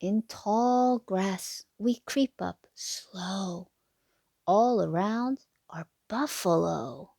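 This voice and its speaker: Female storybook reader, unhurried and expressive, slight creak in the voice, close dry sound. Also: medium pitch, no room sound. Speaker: read-aloud narrator for children. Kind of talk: children's picture book read-aloud.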